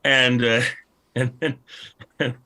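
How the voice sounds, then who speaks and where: A man's voice: mid-range voice, level tone, extremely slow, bright, forceful tone. A man moderating a casual panel discussion, explaining things in a conversational, rambling way. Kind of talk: casual panel discussion about old radio.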